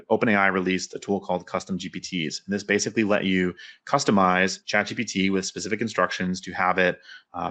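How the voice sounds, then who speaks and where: A man speaks as a presenter at a technical talk. His voice sits low but has a bright, forward edge. He explains in an informal, conversational way at a brisk clip. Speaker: conference speaker, developer and pilot.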